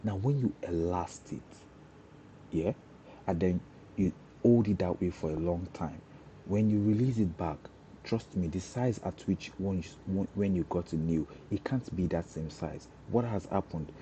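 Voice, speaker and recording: A man's voice: low voice, normal speed, warm tone, lo-fi sound, a little hiss or hum. A young Nigerian man, a Yoruba speaker, giving an informal talk on breaking into cybersecurity, often restarting and repeating words as he explains. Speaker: cybersecurity trainer.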